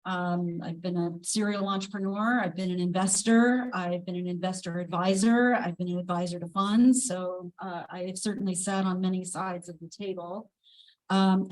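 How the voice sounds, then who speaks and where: A woman speaking as an investor on a live panel, explaining her points in a measured, deliberate way. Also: mid-range voice, darkish tone, low-quality recording. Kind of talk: panel discussion. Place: event space with a live audience.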